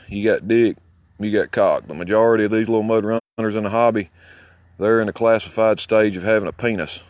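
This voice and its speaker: A man's voice: on the low side, no room sound. A man with a Southern Alabama accent rambling casually in a boastful, folksy, foul-mouthed way as he talks outdoors. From southern United States. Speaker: CB radio hobbyist filming his own video. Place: outdoors near his home.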